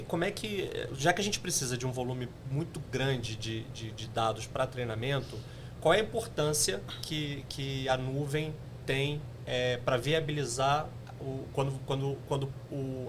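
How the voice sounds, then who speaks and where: A man's voice: medium pitch, background noise. A man hosting a podcast, framing questions hesitantly with many restarts. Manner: hesitant, searching for words while framing questions. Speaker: podcast host.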